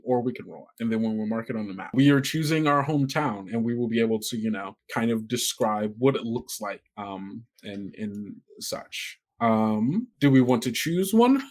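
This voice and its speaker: A man casually talking players through a tabletop game as the game master and host. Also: medium pitch.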